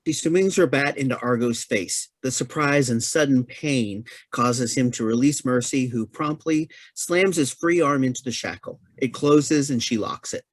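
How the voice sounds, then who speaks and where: A woman's voice: rumbling low, measured pace, few pauses, no room sound. A woman reading stage directions as scripted narration for a play reading.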